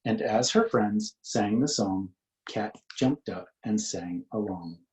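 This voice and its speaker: Slow-reading man, children's picture-book author. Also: fairly low pitch, a little mellow, echoey room, slight background noise, low-quality recording. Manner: singsong, questioning, inviting participation. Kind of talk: picture-book read-aloud for young children.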